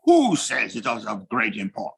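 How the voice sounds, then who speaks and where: King, played by a man: gravelly, husky voice, flowery and theatrical, self-important, period audio play. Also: lowish voice, wildly swooping pitch, normal speed, fairly bright tone, exaggerated voice. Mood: imperious. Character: doting, self-important French king, adult, mother-obedient. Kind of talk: period audio drama acting.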